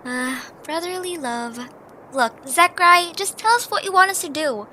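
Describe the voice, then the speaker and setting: A small, impulsive young female creature acted out by a woman in an audio drama. The voice is extremely high and sharp, insistent and curious, with some noise behind it. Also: expressive, unhurried, exaggerated voice. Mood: impatient. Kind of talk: amateur audio drama acting. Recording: noticeable background noise.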